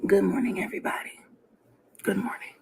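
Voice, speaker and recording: A woman just out of bed, talking softly and half whispering in a morning vlog, her voice hoarse. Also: medium pitch, fairly even intonation, fairly quick, soft background music. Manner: soft and sleepy, partly whispered.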